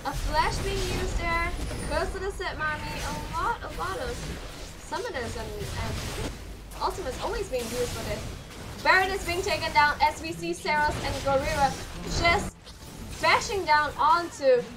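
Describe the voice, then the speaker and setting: Hoarse woman casting a game, high voice. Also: background noise. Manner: rapid play-by-play that jumps higher in fights. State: hoarse, losing her voice. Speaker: esports caster. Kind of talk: solo live game commentary. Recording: clear music behind the voice.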